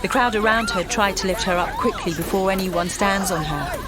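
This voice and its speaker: An actress playing a shaken protester, giving urgent eyewitness narration in an audio drama. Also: female voice, medium pitch, a little fast, close to an everyday voice. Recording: loud music and crowd behind.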